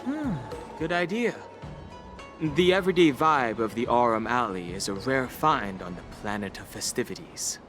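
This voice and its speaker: A man voicing a calm, thoughtful young man in a game, speaking softly and evenly. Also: medium pitch, lightly acted. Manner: quiet, measured, soft-spoken. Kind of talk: game voice acting. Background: game soundtrack music.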